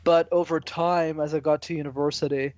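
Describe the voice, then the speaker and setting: A man from Canada answering interview questions casually and relaxed, using mild slang. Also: fairly high pitch, a little fast, dry recording, highs cut off. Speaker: guest, public speaking coach.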